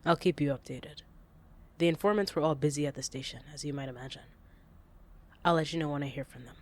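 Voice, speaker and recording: A man playing a matter-of-fact crime lieutenant in an audio drama, speaking in a measured, businesslike way. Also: on the high side, darkish tone, lightly acted. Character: matter-of-fact male crime lieutenant briefing his boss. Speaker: voice actor. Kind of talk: audio roleplay drama acting.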